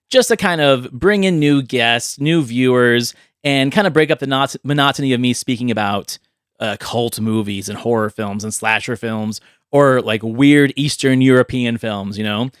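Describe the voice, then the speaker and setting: A man's voice: medium pitch, clear, resonant tone, no echo, clean studio sound. A man hosting a podcast in a casual, chatty way, explaining things conversationally as he goes. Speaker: podcast host.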